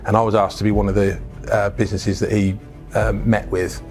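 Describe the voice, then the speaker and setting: A man's voice: fairly low pitch, flat delivery, brisk, darkish tone, faint music behind. A middle-aged English businessman telling his life story in a frank, casual interview, with plenty of fillers and restarts. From England. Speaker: business founder, guest.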